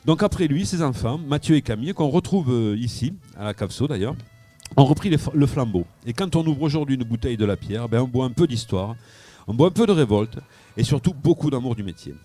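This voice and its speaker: A man's voice: medium pitch, lively intonation, brisk, dark tone, soft background music. A man telling a wine anecdote on live radio from a wine shop, with frequent hesitations and repeated words. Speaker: wine columnist. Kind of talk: radio wine chronicle, storytelling.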